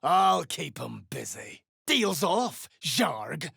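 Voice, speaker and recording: An actor playing a scheming, greedy, foul-mouthed duergar slaver in a fantasy video game, with a harsh, gruff delivery. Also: mid-range voice, sing-song, extremely slow, fairly bright tone, no echo, clean studio sound, full character voice. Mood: aggressive, greedy. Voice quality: very gravelly, harsh.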